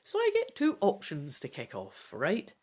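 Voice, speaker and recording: A woman reading a fantasy gamebook aloud as its player, in an expressive, theatrical storytelling style. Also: fairly low pitch, a little slow, husky. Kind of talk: dramatic storybook narration with casual asides.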